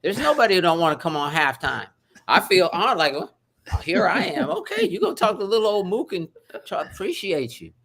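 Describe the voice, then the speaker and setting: Effusive, animated man talking. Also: high-pitched, a little fast. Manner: effusive, animated, swings loud and soft. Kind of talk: energetic interview conversation.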